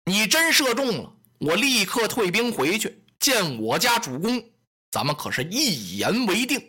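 A fretful, scheming general mutters his doubts in a low, grumbling voice, played by a storyteller. The tone is husky and bright. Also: sing-song, a little slow, exaggerated voice. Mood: doubtful. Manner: grumbling, suspicious. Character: anxious scheming rival general.

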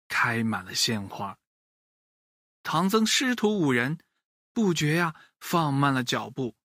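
A man reading a children's tale aloud, slow and deliberate with a lively, swooping melody. His voice sits low, and the recording is dry and close with no room sound. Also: no room sound. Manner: animated, slow, expressive. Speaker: storyteller. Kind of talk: children's story narration.

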